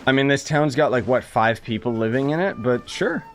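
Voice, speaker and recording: A man's voice: mid-range voice, expressive, normal speed, soft background music. Sarcastic rambling male game streamer. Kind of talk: casual gameplay commentary.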